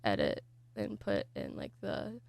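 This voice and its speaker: Gravelly-voiced shy young woman. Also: medium pitch, huge pitch swings, unhurried, a little mellow, no room sound. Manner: shy, trails off with fillers. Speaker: graphic design student. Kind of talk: interview answers.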